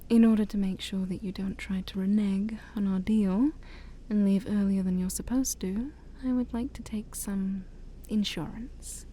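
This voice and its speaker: A woman playing a shy, scheming demon princess of a dark forest in an ASMR roleplay, soft, breathy and mostly whispered, with coaxing, formal speech and playful asides. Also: medium pitch, steady tone, moderate pace, slight background noise, lightly acted. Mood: sly, persuasive. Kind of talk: ASMR roleplay addressed to the listener.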